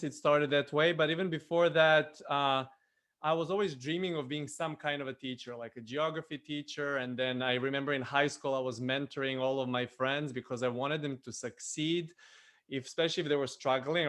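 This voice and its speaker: A man's voice: a little high, fairly bright tone. A man whose first language is Serbian, telling his own story in casual chat on a video call, hesitant with many fillers. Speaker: presenter on a spiritual show. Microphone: computer mic on a video call.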